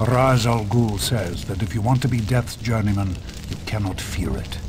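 Man acting as stern martial-arts master, low voice, formal game dialogue, noisy ambience. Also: lightly acted. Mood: stern, instructing. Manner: formal, didactic, measured. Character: stern male martial-arts mentor of a secret order.

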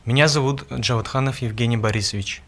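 Young man, 19, from Dagestan, even mid-pitched voice, calm measured read. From Dagestan, Russia. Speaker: music student. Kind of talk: scripted personal monologue.

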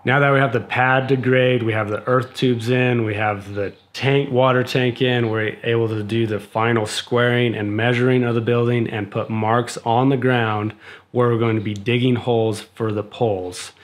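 A man's voice: medium pitch, fairly even intonation, normal speed. Casual American man explaining his build. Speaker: DIY builder and vlogger. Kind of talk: casual explanatory vlog talk. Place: small home room while explaining at a desk, outdoors on a building site elsewhere.

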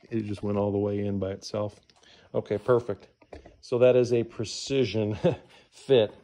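A man's voice: on the low side, flat delivery, a little slow, mellow, a little hiss or hum. A middle-aged man gives a casual hands-on tutorial, muttering to himself as he works in a garage. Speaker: DIY engine rebuilder giving a how-to. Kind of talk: casual hands-on tutorial, talking while working.

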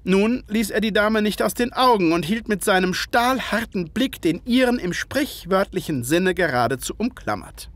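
An actor playing an observant Victorian gentleman doctor who narrates a detective radio play in a measured, literary way. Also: high voice, expressive, no echo. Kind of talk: scripted first-person story narration.

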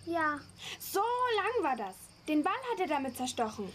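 A woman's voice: high voice, sing-song, moderate pace, a bit bright, slight background noise. A female performer playing an earnest little girl in a German audio drama. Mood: upset, insistent.

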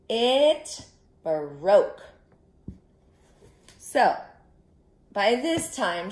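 A middle-aged woman telling an interactive story to young children, expressive and instructive, inviting them to join in, recorded in a living room. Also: medium pitch, creaky, reverberant. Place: small living room, indoors. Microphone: camera a short distance away.

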